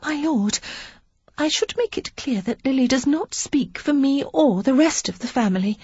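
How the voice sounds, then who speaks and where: A narrator voicing a shy, timid young noblewoman, speaking hesitantly and softly. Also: sits high, lively intonation, moderate pace, dry recording, muffled recording, voice pushed up. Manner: hesitant, soft, breathy. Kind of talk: audiobook character voice.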